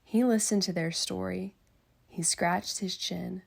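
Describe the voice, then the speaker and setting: A young woman narrates a children's storybook as a student teacher. Her voice is heavily creaky and husky, and her delivery is expressive and unhurried. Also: lowish voice. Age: young adult. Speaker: student teacher reading aloud. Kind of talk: expressive storybook read-aloud.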